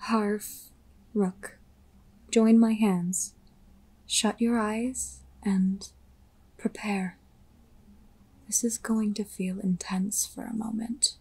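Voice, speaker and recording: A commanding, teasing witch from an audio drama, voiced by a woman. She speaks slowly and boldly in a husky, breathy voice. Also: medium pitch, steady tone, a little mellow. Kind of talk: audio roleplay voice acting.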